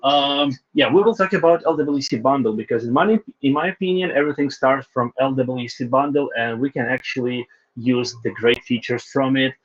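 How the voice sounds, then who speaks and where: Slightly husky man presenting code online. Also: mid-range voice, lo-fi sound. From Ukraine. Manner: loose phrasing, frequent fillers and restarts. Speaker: guest speaker, Salesforce developer. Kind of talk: technical presentation.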